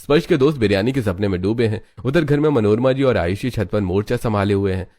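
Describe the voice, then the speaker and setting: Steady male cartoon narrator. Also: fairly low pitch, quick pace, dry recording. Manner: steady storytelling. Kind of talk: scripted narration.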